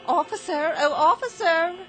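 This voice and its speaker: A woman's voice: very high voice, normal speed, sharp tone, soft background music. An actress in a radio drama playing a prim, indignant lady with a fussy, complaining manner.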